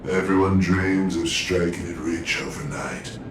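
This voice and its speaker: An actor playing an easygoing young patrol guide with a laid-back, breezy way of talking. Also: male voice, sits low, measured pace, echoey room, recorded from a distance, noisy background. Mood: chatty. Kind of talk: game voice acting.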